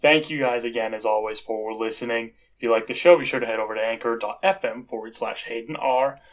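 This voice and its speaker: A man's voice: mid-range voice, brisk. A young adult man speaking casually as a podcast host addressing his listeners.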